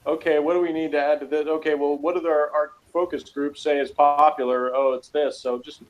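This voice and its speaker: A man co-hosting a casual podcast chat about a TV episode, animated and jokey, often laughing and acting out quotes. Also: a little high, brisk, rough recording. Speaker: podcast co-host.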